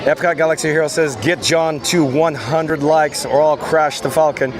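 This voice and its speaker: A hoarse man hosting a live walking vlog outdoors, coughing now and then and reading viewer comments aloud. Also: fairly high pitch, normal speed. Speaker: vlogger host. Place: outdoors at a busy theme park. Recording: loud crowd and outdoor noise. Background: crowd chatter.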